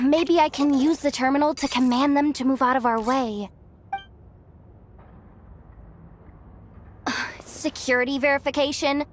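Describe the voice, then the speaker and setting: A woman playing a young, anxious, chatty tech tinkerer in an anime game, expressive and nervously self-deprecating. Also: high-pitched, slight background noise. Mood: exasperated, wondering. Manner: nervous, expressive muttering to herself.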